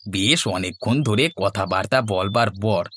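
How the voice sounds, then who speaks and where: A man voices a respectful, modest villager in a cartoon. His voice is low and his delivery earnest, over faint background noise. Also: a little slow, fairly bright tone. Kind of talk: Bengali cartoon dubbing.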